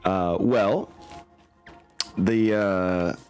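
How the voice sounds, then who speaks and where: A man with a deep voice acts as game master in casual chat. He talks informally, with slangy turns of phrase and an apologetic streak, as he gets things set up. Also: fairly even intonation, drawn-out words, a little mellow, soft background music. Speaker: game master and host of a tabletop campaign. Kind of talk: casual chat while setting up an online game. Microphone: online voice chat.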